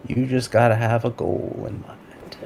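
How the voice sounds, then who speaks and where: A man's voice: medium pitch, level tone, measured pace, creaky, a little mellow, slight background noise. A man playing a laid-back, self-assured mentor who would be king, in a tabletop audio drama, talking with encouraging assurance. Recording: music behind the voice.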